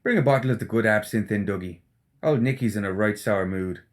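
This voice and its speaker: A man voicing a cocky, teasing gentleman rogue necromancer in an audiobook, with folksy, slangy, mocking talk. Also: on the low side, brisk, natural voice. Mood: breezy. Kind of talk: audiobook character acting.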